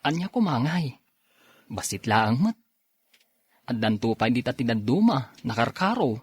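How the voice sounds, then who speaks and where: A man's voice: mid-range voice, big pitch swings, a little slow, slightly rough, dry recording. A man playing an earnest young provincial suitor in a radio drama, speaking with an Ilocano accent. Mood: pleading. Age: young adult. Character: earnest 24-year-old provincial man courting an older woman.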